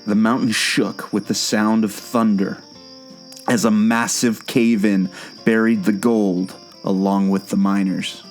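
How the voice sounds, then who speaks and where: Knowing male storyteller-guide played by a man, low and patient, audio drama with soft music bed. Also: flat delivery, measured pace, close to an everyday voice. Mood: knowing, mysterious. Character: knowing, patient male guide telling theme park legends.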